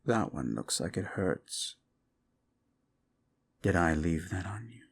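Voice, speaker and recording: Deep, slow, paranoid yandere prince, soft menace and whispers; gravelly, animated delivery. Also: male voice, exaggerated voice. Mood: commanding. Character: unhinged possessive young prince, paranoid. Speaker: voice actor. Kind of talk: audio roleplay drama. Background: faint dark music.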